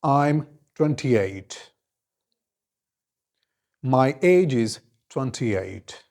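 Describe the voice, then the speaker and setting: A man, teaching an English lesson and giving clear, deliberate model answers, each repeated in several forms. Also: medium pitch, lively intonation, a little mellow. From Khyber Pakhtunkhwa, Pakistan. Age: 20s.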